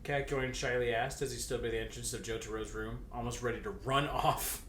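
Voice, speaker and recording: A man's voice: medium pitch, fairly even intonation, a little fast, a bit bright. A man casually reading fan fiction aloud and bantering, with a teasing, crude and giggly manner. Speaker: guest co-reader. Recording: roomy echo, faint background noise.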